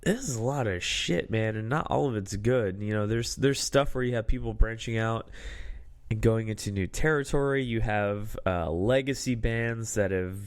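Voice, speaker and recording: Man, podcast host, slightly drunk, loose rambling chat, clear smooth tone. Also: on the low side, normal speed. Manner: laid-back, rambling, laughs often. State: a little drunk. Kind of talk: casual chat.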